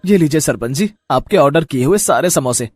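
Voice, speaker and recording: A man's voice: a little high, expressive, fairly quick, a little mellow, slight background noise, lightly acted. A man voicing a polite, hardworking young village son in a cartoon story, speaking in an earnest, exclaiming manner. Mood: happy. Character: hardworking, dutiful young samosa-maker son, eager and polite.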